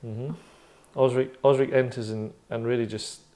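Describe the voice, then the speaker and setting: A man's voice: medium pitch, level tone, moderate pace, dark tone. A man talking casually and comically as he leads a group of actors, rambling and breaking into his own sentences with dry humour. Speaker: table read leader among actors.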